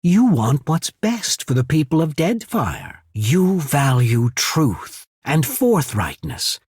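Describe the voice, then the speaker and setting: An actor playing a shrewd, courteous naval emissary in a fantasy video game, speaking in a measured, formal, persuasive way. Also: male voice, on the high side, huge pitch swings, a little mellow, breathy edge, no echo, clean studio sound, full character voice. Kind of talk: scripted fantasy game dialogue.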